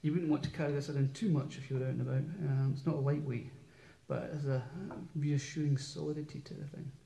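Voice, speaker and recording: Quiet, hesitant man in a roomy space. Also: medium pitch, fairly even intonation, quick pace, creaky. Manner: hesitant, full of ums and restarts. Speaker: musician demoing an amplifier. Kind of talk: casual product demo. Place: small room in an old house, indoors. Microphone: stand microphone through an amplifier with reverb. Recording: roomy, reverberant sound through an amp.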